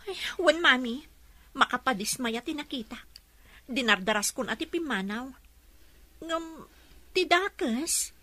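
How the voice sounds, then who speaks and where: A high-voiced, peevish young Filipina. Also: female voice, animated, measured pace, pauses often, pushed and bright, no echo, exaggerated voice. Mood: annoyed, sulky. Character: young woman, peevish, evasive daughter and girlfriend. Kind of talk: Ilocano radio drama acting.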